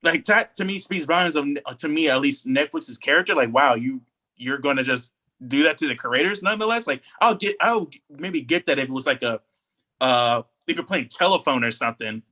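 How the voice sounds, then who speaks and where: A man's voice: on the high side, lively intonation, a little fast, sharp tone. A man co-hosting a podcast, talking casually and giving opinions with emphatic, repeated phrasing. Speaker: podcast co-host.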